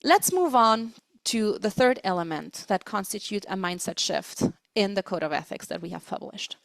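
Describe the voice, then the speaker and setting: A woman presents an online training lecture in a deliberate, explanatory way. She leans on key words to make each point. Her mid-pitched voice is recorded close and dry, with very little room sound. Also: animated, vocal fry, no room sound. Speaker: webinar presenter, interpreting trainer.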